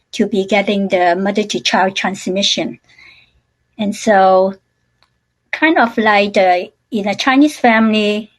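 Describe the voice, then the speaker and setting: Woman, halting testimony. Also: medium pitch, low-quality recording. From Hong Kong. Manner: halting, many fillers and restarts. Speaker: panel speaker sharing her story. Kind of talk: personal testimony on a webinar.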